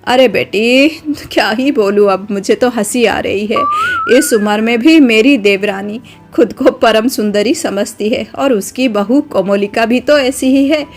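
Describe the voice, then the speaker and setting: A woman voicing a kindly older village mother-in-law who gently advises her daughter-in-law. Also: a little high, unhurried, music in the background, close to an everyday voice. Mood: gentle advising.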